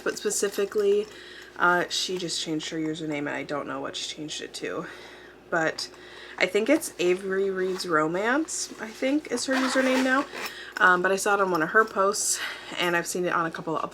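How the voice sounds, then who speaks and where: A young adult woman with a hoarse, fading voice, chatting casually about what she reads, rambling with fillers and self-corrections. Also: fairly low pitch, noisy background. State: hoarse, losing her voice. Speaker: book vlogger. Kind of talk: casual chat. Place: at home with a dog nearby. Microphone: camera mic.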